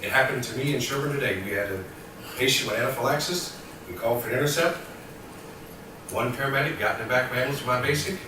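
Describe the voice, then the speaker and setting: A man who works as a paramedic, arguing his point forcefully at a public meeting. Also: mid-range voice, quick pace, noisy background. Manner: forceful, argumentative. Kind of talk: meeting debate. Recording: echoey room from far off.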